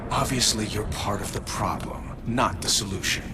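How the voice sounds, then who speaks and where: An actor playing an arrogant corporate mad scientist supervillain in a video game, pompous and theatrical. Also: male voice, on the low side, moderate pace, close to an everyday voice. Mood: smug contempt. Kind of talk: video game cutscene acting. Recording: loud music and machinery behind.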